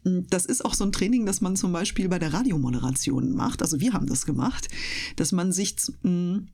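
Woman, lowish pitch, casual radio talk, colloquial slang, thoughtful. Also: lively intonation, brisk, vocal fry, dark tone, slightly rough. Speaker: interview guest, voice actress and streamer. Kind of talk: casual radio interview chat.

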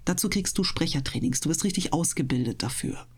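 Husky, lower-voiced woman in casual conversation. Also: animated, a little fast, in a whisper. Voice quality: trained, clearly articulated speech. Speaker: host of an audio channel and interview guest, professional speaker. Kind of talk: casual interview chat.

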